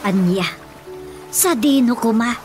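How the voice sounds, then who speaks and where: A woman's voice: a little high, expressive, takes it slowly, music in the background. A woman playing an exasperated, questioning wife in an Ilocano radio comedy-drama, full of doubtful exclamations. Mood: incredulous. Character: old man's skeptical, questioning, exasperated wife.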